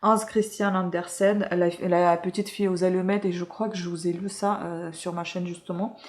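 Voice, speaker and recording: Woman from ukraine, lowish voice, lots of euh and restarts, chatty book review. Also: level tone, normal speed, darkish tone. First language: Russian. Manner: hesitant, many fillers and self-corrections. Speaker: book reviewer, channel host. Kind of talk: casual book review chat.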